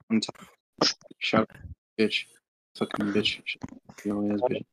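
A man's voice: lowish voice, monotone, takes it slowly, pushed and bright, husky, rough recording. A tired man half-whispering crude remarks on a voice call. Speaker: listener in debate call. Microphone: computer mic on voice call.

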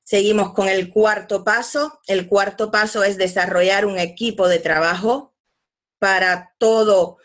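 A woman walks through slides in an online business seminar, her pitch sitting in a middle range. She talks in halting stretches, falls back on fillers and restarts her phrases. Her voice comes through a webcam mic on a video call. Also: fairly even intonation, a bit bright. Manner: hesitant, fills pauses with "pues" and restarts. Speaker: webinar co-presenter.

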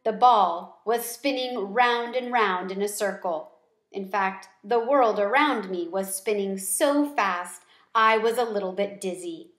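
A middle-aged woman reading a children's book aloud with deliberate, expressive storytelling phrasing. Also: mid-range voice. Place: small room at home. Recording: roomy home recording.

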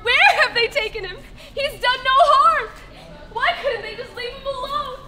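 A woman acting an impassioned female disciple of Jesus in a live church stage play, with dramatic pauses. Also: extremely high pitch. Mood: anguished. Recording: large hall with audience noise.

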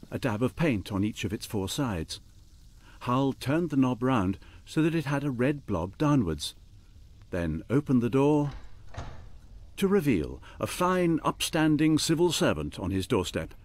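A man's voice: mid-range voice, very animated, measured pace, a little mellow. A man narrating a radio drama in a scripted storytelling style.

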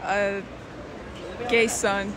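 A woman's voice: medium pitch, little pitch movement, extremely slow, background noise. A woman answering a street interview, hesitant and questioning back.

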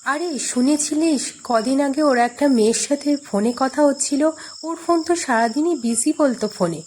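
A woman's voice: high voice, normal speed, a little mellow, a little hiss or hum, pitched above the performer's own voice, natural voice. A woman voicing a chatty, concerned young man gossiping about his friend in a horror cartoon. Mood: worried, chatty.

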